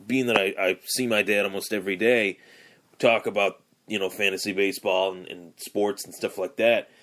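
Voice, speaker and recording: Slightly hoarse young man, rambling. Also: fairly low pitch, fairly bright tone. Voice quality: raspy. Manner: rambling, with many fillers and restarts. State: hoarse from yelling. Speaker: podcast host. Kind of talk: casual solo sports podcast.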